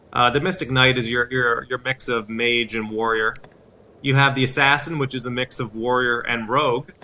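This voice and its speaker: A man in his thirties hosting a game walkthrough, explaining things in a halting way. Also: mid-range voice, pushed and bright, a little hiss or hum. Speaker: video series host. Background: game music.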